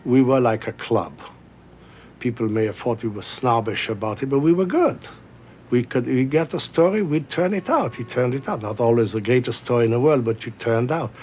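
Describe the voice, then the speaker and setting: Older male interviewee, mid-range and plain-spoken, looking back, slight low noise behind. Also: moderate pace, darkish tone. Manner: blunt, plain-spoken short statements. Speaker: photojournalist interviewed. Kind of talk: reflective documentary interview.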